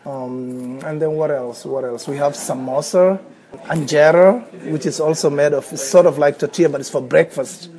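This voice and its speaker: A man in his thirties with a Somali accent speaks in a slightly high, mellow voice. He reads and talks at an unhurried, hesitant pace, often stopping to restart or repeat a word. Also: fairly even intonation, rough recording. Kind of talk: reading a passage aloud, then unscripted talk.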